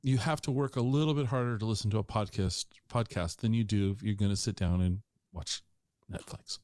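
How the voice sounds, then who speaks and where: A man's voice: on the low side, fairly quick, darkish tone, dry recording, studio quality. A man hosting a podcast interview, chatting casually and enthusiastically. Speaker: podcast host.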